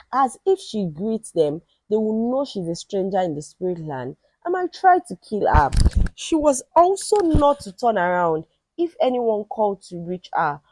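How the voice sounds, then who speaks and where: A woman telling a folktale in an expressive, emphatic and dramatic storytelling style. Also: mid-range voice, no room sound, poor audio.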